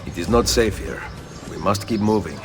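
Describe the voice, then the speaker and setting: An actor playing a terse, serious Renaissance assassin with an Italian accent in a dramatic video game. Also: male voice, on the low side, animated, normal speed, over music, lightly acted. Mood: stern, commanding.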